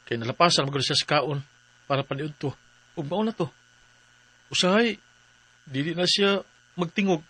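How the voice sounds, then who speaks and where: An actor with a Cebuano Filipino accent playing a troubled, devoted forty-year-old farmer in a radio drama. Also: male voice, on the high side, normal speed, long pauses, bright, forceful tone, husky, poor audio. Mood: worried. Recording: faint music bed.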